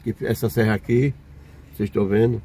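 A man's voice: lowish voice, fairly even intonation, quick pace, mellow, a little hiss or hum. An older man, chatting casually to viewers while he drives and walks around a market. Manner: chatty, points things out to viewers. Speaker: vlogger. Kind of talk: casual vlog narration. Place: in a car and at an outdoor street market.

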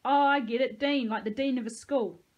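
A woman's voice: steady tone, moderate pace, lo-fi sound. A woman voicing a paranoid, aggressive cadet leader in his twenties, a mockingly cheerful bully, in an audiobook. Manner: booming, taunting, bossy. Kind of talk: audiobook character dialogue.

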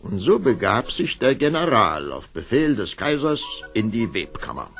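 A man's voice: on the low side, sing-song, moderate pace, vocal fry, a bit bright, faint music behind, heavily acted. A man narrating a fairy tale as a scripted storyteller for an audio play. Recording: old cassette, faint noise.

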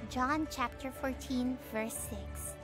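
A childlike voice telling a Bible lesson to young listeners in simple, expressive scripted speech, as the host of a children's show. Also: high-pitched, slow pace, music in the background. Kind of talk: animated scripted storytelling and teaching for children.

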